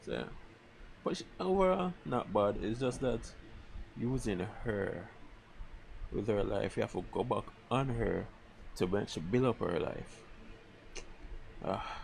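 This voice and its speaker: Creaky-voiced male streamer, noisy mic. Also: lowish voice, animated, unhurried, pauses often, a little mellow. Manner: informal, thinking aloud. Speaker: live game streamer. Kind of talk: casual chat while gaming. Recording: clearly noisy stream microphone.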